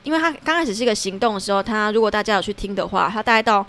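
Female podcast guest, Taiwanese Mandarin accent, rapid conversational delivery, reflective and frank. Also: mid-range voice, animated, a bit bright. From Tainan, Taiwan. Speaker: podcast guest, theatre performer-writer. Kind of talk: casual reflective interview talk.

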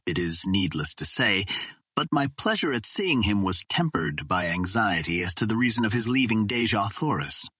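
A man narrating an adventure novel in a deliberate, formal, dramatic storytelling manner. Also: on the low side, a bit bright, breathy edge, no echo. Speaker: audiobook narrator.

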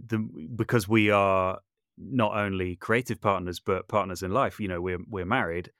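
A man with a fairly low voice speaks as a guest in a relaxed, conversational interview. The recording is close and dry, and he thinks aloud, qualifying and rewording his points. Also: moderate pace, dry recording. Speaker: podcast guest, composer, sound designer and voice artist.

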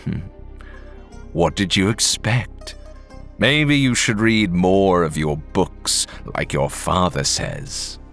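A man voicing a sly, malicious male genie spirit who growls and mocks in slow, drawn-out words. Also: low-pitched, a bit bright, soft background music, heavily acted. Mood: taunting.

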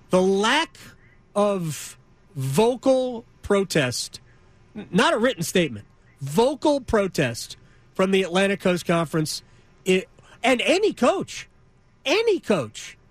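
A man's voice: sits high, no room sound. A man hosting a sports talk radio show in a casual, emphatic and opinionated way on a studio microphone. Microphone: close studio microphone.

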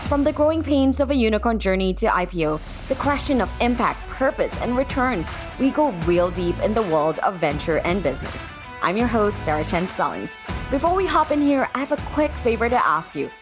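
A woman speaks as a podcast host and interviewer. Her voice sits at a medium pitch. Music can be heard behind her. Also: big pitch swings, brisk.

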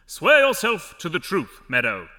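An actor playing a pompous, imperious young judge in a fantasy audio drama, commanding and officious. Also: male voice, sits high, huge pitch swings, unhurried, pushed and bright, heavily acted.

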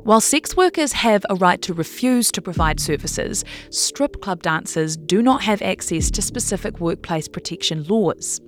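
A woman's voice: fairly low pitch, animated, normal speed, few pauses. New woman narrating over music. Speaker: narrator reading a long-form investigative article. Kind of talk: scripted narration.

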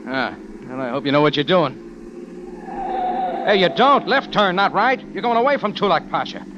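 An actor playing a tough, wry American adventurer with slangy, hard-boiled delivery in a 1950s radio drama. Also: male voice, high voice, animated, moderate pace, background noise. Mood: wary. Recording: dull old radio recording.

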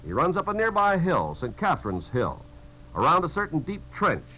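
An American man giving a scripted 1950s radio talk in a conversational, measured way. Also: on the high side, wildly swooping pitch. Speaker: narrator of message about servicemen. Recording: dull, hissy 1950s radio recording.

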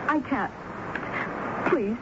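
An actress playing a lovelorn, defiant jilted woman confessing in a 1970s radio drama, with halting, dramatic delivery. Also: on the high side. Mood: grieving. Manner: dramatic, halting, repeats words for emphasis. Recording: dull, noisy 1970s radio broadcast recording.

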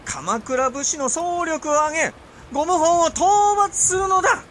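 A man acting a haughty, gloating warrior ruler in a period voice drama, with a pompous, theatrical, drawn-out delivery. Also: very high voice, lively intonation, fairly bright tone, slight background noise, full character voice. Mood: imperious.

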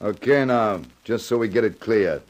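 A man plays a tough American gangster boss in an old radio thriller. His voice sits low, and he speaks in curt slang with impatient menace. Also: moderate pace, lightly acted. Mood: commanding. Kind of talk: 1950s radio crime drama acting.

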